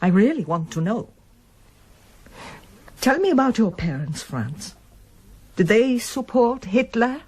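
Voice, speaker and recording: An actress playing a reserved, probing middle-aged mother in a radio drama, restrained and pointed, with a husky voice. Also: on the high side, very animated, takes it slowly, pauses often, a little mellow, exaggerated voice. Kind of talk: radio drama acting with narration.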